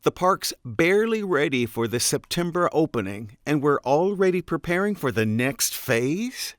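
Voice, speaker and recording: An actor playing a terse, dutiful park security boss with a curt, commanding manner in an audio drama. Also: male voice, a little high, sing-song, normal speed, dry recording, clean studio sound. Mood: dry concern.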